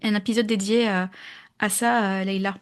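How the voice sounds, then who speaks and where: A woman's voice: mid-range voice, fairly even intonation, moderate pace, smooth. A woman co-hosting a conversational podcast, speaking in a friendly way as she closes the episode. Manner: friendly, wraps up the episode, laughs easily. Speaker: podcast co-host.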